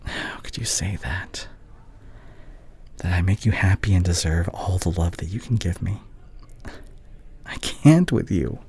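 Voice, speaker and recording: Man acting a deep, breathy, heartbroken boyfriend. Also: exaggerated voice. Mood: anguished, pleading. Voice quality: breathy, hushed, often dropping into whispers. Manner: halting, emotional, confessional. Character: heartbroken, devoted young doctor ex-boyfriend, tender and remorseful. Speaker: audio roleplay performer. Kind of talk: intimate ASMR boyfriend audio roleplay.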